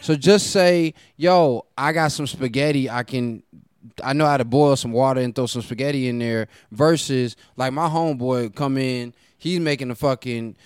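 A man co-hosting a podcast in blunt, joking chat, dropping curses as he goes. His voice is at a middle pitch. The recording is close and dry. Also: normal speed, smooth, dry recording. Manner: blunt, joking, swears freely. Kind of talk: casual chat.

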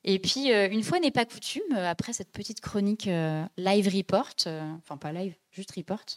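A woman's voice: mid-range voice, normal speed. A young woman hosting a casual podcast, talking chattily with many hesitations and fillers. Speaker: podcast host.

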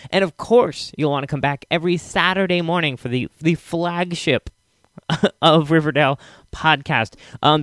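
A man hosting a podcast with a casual, halting, self-correcting solo introduction into a close microphone. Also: a little high, fairly bright tone, dry recording. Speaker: podcast host.

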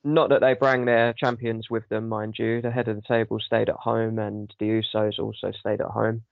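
A man with a sore throat hosts a casual podcast chat. His voice is gravelly and he rambles through lots of ums. The recording sounds dull and dry. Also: on the low side, level tone, normal speed, dry recording. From United Kingdom. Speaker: podcast host.

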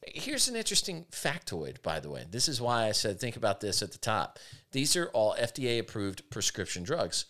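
A man with a raspy voice, hosting a podcast with opinionated, emphatic casual talk. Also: mid-range voice, wildly swooping pitch, moderate pace, sharp tone. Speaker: podcast host.

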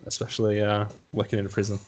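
Young Lancashire man, low-pitched, chatty podcast guest who rambles and reflects aloud. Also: completely flat delivery, fast talker, a little mellow. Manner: rambling, self-reflective, hedges with "you know". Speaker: podcast guest, assistant psychologist. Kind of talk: casual conversation.

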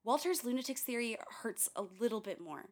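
A woman's voice: fairly high pitch, animated, brisk. An actress in a radio play voicing an earnest, curious young woman, speaking in a candid, conversational way with wry touches. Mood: defensive, reflective. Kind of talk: radio play dialogue and recorded diary.

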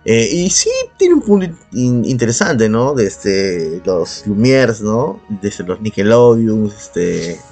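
A man's voice: on the low side, big pitch swings, takes it slowly, few pauses, a little mellow, slightly rough. Peruvian man ranting casually over music. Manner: argumentative, sarcastic, swears casually. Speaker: podcast co-host. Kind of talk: casual opinionated podcast talk. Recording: background music under the voice.

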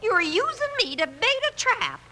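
A woman's voice: very high voice, big pitch swings, moderate pace, bright, forceful tone, exaggerated voice. An actress playing a feisty, indignant frontier lady held captive in an old radio western, theatrical and scolding. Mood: alarmed, realizing. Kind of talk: 1950s radio western acting. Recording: old radio recording with noise.

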